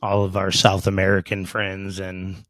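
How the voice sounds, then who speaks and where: A man's voice: sits low, moderate pace, no room sound. A man in his thirties on a casual podcast, talking bluntly and cursing freely. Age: 30s. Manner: blunt, profane and animated. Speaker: podcast guest.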